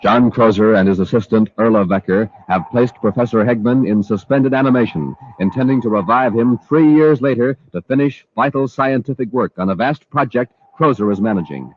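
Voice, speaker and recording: A man's voice: mid-range voice, fairly quick, hardly any pauses. A man announcing and narrating a 1950s radio program in an emphatic, promotional way. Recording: dull old radio broadcast recording.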